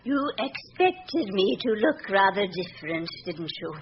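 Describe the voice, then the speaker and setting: A woman's voice: medium pitch, very animated. Poised elderly aristocratic lady. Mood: composed, grieving. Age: older. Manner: poised, formal, deliberate. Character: composed, dignified elderly aristocratic princess, frank and gracious. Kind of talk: radio drama acting. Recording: dull, noisy old radio broadcast recording.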